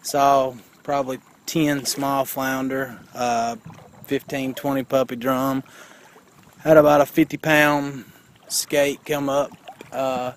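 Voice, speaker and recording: A man's voice: medium pitch, slight background noise. A tired man talking casually to his handheld camera while fishing outdoors at night. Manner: tired, relaxed, mumbling. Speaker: night fisherman filming. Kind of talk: casual commentary while filming.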